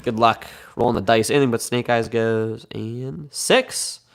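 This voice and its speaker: A man's voice: mid-range voice, steady tone, unhurried, gravelly, a little hiss or hum. A man with a Midwestern American accent hosting a live card break, slightly congested from a cold, chatty and upbeat as he reads out names and teams.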